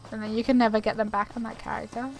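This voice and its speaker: A woman's voice: on the high side, flat delivery, racing, creaky, low-quality recording. A woman giving chatty, informal commentary on a video game playthrough. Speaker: gaming video creator. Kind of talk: casual game playthrough commentary, reading dialogue aloud. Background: video game ambience.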